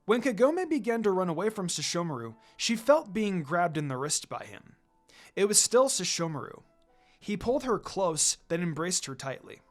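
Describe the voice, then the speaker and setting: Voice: medium pitch, very animated, moderate pace, faint music behind. A narrator reading a fan fiction story aloud in a dramatic style.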